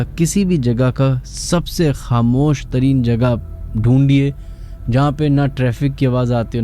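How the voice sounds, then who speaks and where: Mellow Pakistani man hosting late-night radio. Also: medium pitch. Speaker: radio show host. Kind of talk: late-night radio horror show hosting, talking to listeners and callers. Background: background music.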